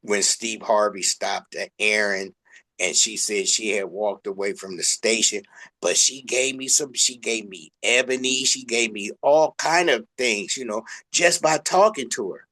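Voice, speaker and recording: A man's voice: lowish voice, a little slow, bright, forceful tone. A man in casual conversation, speaking in an informal, colloquial way. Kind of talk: casual chat.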